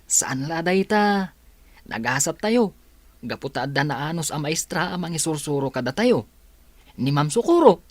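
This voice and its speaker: A woman's voice: deep voice, lively intonation, moderate pace, pauses often, dry recording. A woman with a Filipino accent playing a cheerful, grateful schoolboy in a radio drama. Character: cheerful, grateful schoolboy who likes to chat. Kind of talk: Ilocano radio drama acting.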